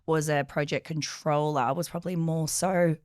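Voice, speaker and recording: A woman's voice: low voice, steady tone, moderate pace, darkish tone, no echo, studio quality. A young woman being interviewed as a guest, answering hesitantly with many fillers while losing her voice. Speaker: project coordinator guest.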